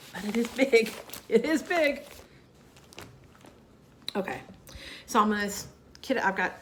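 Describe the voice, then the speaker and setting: A woman's voice: medium pitch, pushed and bright. A woman with a Southern US accent chatting casually about her cross-stitch projects, her voice nasal and scratchy from a cold, rambling with fillers and asides. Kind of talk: casual chat to camera.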